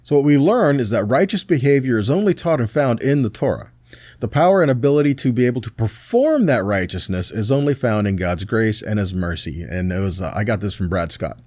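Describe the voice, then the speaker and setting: A man's voice: lowish voice, animated, a little fast, a little mellow, dry recording. A man teaching scripture in a sermon, reading verses aloud and checking in with short tag questions. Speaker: preacher teaching a Bible study.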